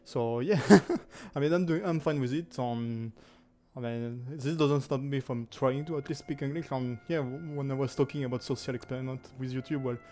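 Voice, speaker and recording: A man's voice: medium pitch, moderate pace, warm tone. A man in his twenties with a strong French accent, chatting casually to his gaming channel's viewers, rambling with lots of fillers and self-corrections. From France. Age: 20s. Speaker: gaming YouTuber. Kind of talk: casual anniversary chat to camera.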